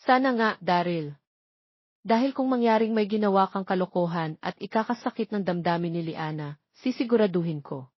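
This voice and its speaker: A woman's voice: mid-range voice, moderate pace, dry recording, dull audio, close to an everyday voice. A woman voicing a stern, protective middle-aged mother scolding her grown son in an audio drama. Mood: stern, threatening.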